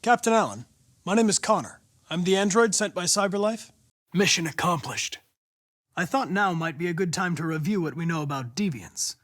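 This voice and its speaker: High-voiced, formal android detective. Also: male voice, expressive, moderate pace, a bit bright, no room sound, studio quality. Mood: matter-of-fact. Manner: formal, precise, controlled diction. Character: polite, determined male android police investigator, young adult. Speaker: video game character. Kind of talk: video game voice acting.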